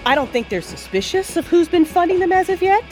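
A woman's voice: very high-pitched, very animated, brisk, darkish tone, faint music behind. A woman playing a teasing, confident and bossy superhero fighter in an audio drama. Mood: matter-of-fact. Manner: teasing, assertive.

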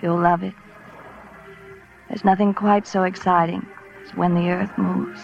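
An actress playing a sultry, teasing femme fatale professor in 1940s radio noir, languid and insinuating. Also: female voice, fairly low pitch, flat delivery, a little slow, vocal fry. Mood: seductive, needling. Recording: muffled old radio recording with music.

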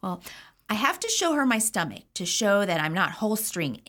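A woman's voice: mid-range voice, very animated, moderate pace, a bit bright. An actress playing a woman who tells a chatty, wry story about probation. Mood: amused. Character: woman recalling probation drug tests. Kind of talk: audio drama acting.

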